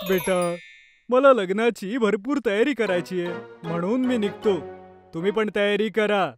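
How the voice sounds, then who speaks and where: Casting: friendly cartoon uncle for kids' story, music underneath. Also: male voice, high voice, unhurried. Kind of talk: cartoon voice acting.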